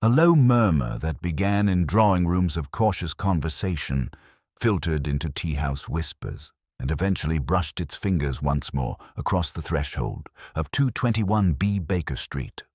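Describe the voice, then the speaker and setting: A man narrating a Victorian detective story as a scripted, dramatic audiobook, in a measured, literary manner. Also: a bass voice, animated, darkish tone, slightly rough, no echo, clean studio sound.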